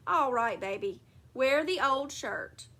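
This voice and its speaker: A woman voicing a rude, teasing father in a storybook who taunts and shouts like a stubborn child. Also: sits high, lively intonation, slow pace, full character voice.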